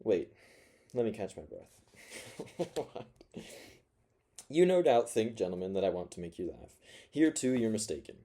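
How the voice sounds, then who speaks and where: A spiteful, self-mocking forty-year-old man rants from his corner, voiced by a male reader. His voice sits low and dark, with a mellow softness under the bitterness. He swings between sour mutters and sudden loud sardonic bursts. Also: big pitch swings, moderate pace, long pauses. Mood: sardonic ranting. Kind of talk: first-person audiobook reading.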